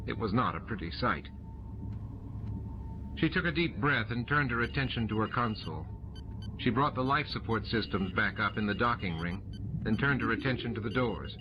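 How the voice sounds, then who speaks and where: A man's voice: fairly low pitch, lively intonation, moderate pace, background noise. A man reading scripted audiobook narration of a story. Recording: muffled audio under loud music.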